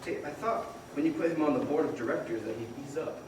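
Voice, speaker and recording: A man's voice: fairly high pitch, fast, darkish tone, natural voice. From far off in a very echoey, noisy hall comes a man acting an eager young dreamer in a radio play. He is earnest and boyish, restless to do big things. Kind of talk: old-time radio drama acting on stage. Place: performance hall, far from the microphone. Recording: very echoey, distant, noisy stage recording.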